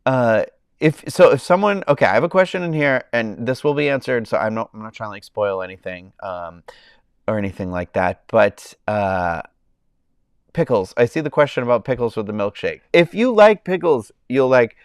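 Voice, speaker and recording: A man hosts a relaxed live stream from home, talking in a nasal, congested voice from a summer cold. He rambles with frequent ums and restarts while answering his chat. His voice is smooth and clear, recorded dry and close on a clip-on mic. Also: medium pitch, normal speed, dry recording. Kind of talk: casual chat with live chat viewers. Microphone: clip-on mic under his shirt.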